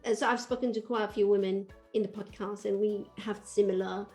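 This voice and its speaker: A woman in her forties from Malaysia talks over a video call from a room at home, her voice warm and relaxed. She speaks thoughtfully and reassuringly, like a host drawing out a guest. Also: medium pitch, fairly quick, faint music behind. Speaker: podcast host. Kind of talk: casual video-call interview.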